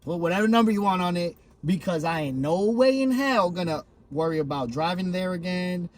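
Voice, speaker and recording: A young man from Miami, a comedian guest in casual podcast chat, telling stories in a lively, swearing way. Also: high voice, a little fast. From Miami, Florida. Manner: animated, swearing storyteller.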